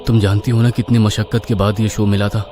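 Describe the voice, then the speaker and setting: A man voicing an anxious, determined horror writer with earnest, dramatic delivery in an animated story. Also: lowish voice, quick pace, over music, close to an everyday voice. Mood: weary, desperate.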